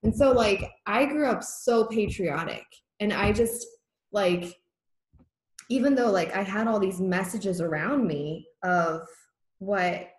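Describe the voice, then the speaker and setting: A woman's voice: mid-range voice, takes it slowly. Rambling, laughing woman on a roomy call. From United States, Massachusetts. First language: Korean. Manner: rambling, laughs often, many filler words. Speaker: conversation guest. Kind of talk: casual reflective chat. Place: home room. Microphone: video call laptop mic. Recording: roomy echo on a video call.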